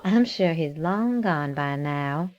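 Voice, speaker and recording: A woman acting in an audio drama as a hospital patient who brushes off concern in an unhurried manner. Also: deep voice, expressive, darkish tone, dull audio. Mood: reassuring, dismissive. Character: reassuring, dismissive woman in a hospital bed.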